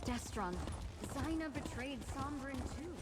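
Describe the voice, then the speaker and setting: Young fantasy peacemaker, level-headed, high pitch, long reverb, video game. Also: female voice, unhurried. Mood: cautioning. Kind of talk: dramatic video game acting. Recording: long reverb, noisy horse-hoof ambience.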